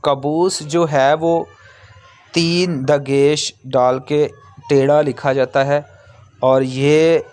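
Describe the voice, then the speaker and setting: A man giving an informal, step-by-step language lesson in a halting, repetitive way, explaining as a teacher does. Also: a little high, flat delivery, darkish tone, faint music behind. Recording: clearly noisy background.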